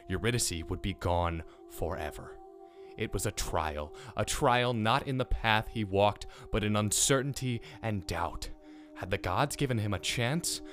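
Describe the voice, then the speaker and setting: A man playing an intense mythology professor in an audio drama, telling stories with deliberate, weighted phrasing. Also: low-pitched, fairly bright tone. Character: mythology professor, grave storyteller turning frantic and obsessive. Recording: staged old classroom recording, music underneath.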